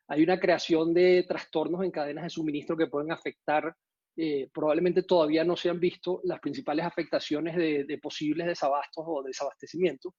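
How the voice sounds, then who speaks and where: A man's voice: a little high, a little mellow, slightly rough, no echo, muffled recording. A Venezuelan man giving an online conference talk, hesitant, with many fillers and restarts. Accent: Venezuelan Spanish with some Mexican usage. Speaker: conference speaker. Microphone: video call.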